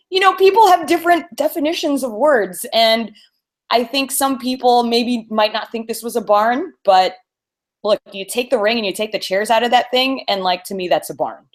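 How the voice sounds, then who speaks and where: An American woman co-hosting a podcast, telling anecdotes in a casual, animated way and laughing easily as she talks. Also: a little high, moderate pace. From born in Mobile, Alabama; grew up on the US East Coast. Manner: animated storytelling, laughs easily while talking. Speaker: podcast co-host.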